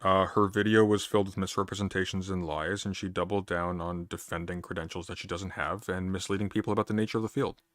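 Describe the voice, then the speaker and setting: Curt deep-voiced man in his thirties. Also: fairly even intonation, fast, hardly any pauses, fairly bright tone, no echo. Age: 30s. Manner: dry, curt and dismissive, repeats words when interrupted. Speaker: streamer in a debate. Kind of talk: heated online debate.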